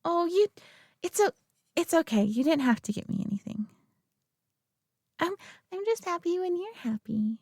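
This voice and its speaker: A woman's voice: very high voice, very animated, normal speed, long pauses, no echo, studio quality, full character voice. Woman playing a giggly, stammering young girlfriend. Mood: sweet, nervous. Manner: giggly, stammering, soft-spoken. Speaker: audio roleplay performer. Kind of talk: girlfriend roleplay addressing the listener.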